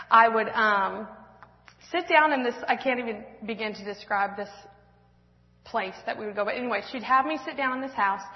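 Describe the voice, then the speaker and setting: Woman in her 30s, church testimony speaker, rambling and self-mocking, muffled lo-fi hall sound. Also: on the high side. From Washington State, US West Coast. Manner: self-deprecating, laughing often, rambling with fillers. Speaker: speaker at a church women's event. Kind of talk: personal testimony talk with slides.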